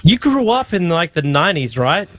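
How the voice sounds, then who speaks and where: A man's voice: a little high, sing-song, normal speed, fairly bright tone, dry recording. A man casting an esports draft in a studio, joking and teasing his co-caster. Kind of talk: casual draft commentary.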